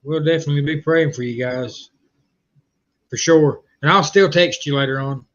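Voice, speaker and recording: A man with a rural Southern accent hosting a casual livestream chat, speaking hesitantly with frequent pauses. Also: a little high, dull audio. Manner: hesitant, lots of uh fillers, reads comments aloud.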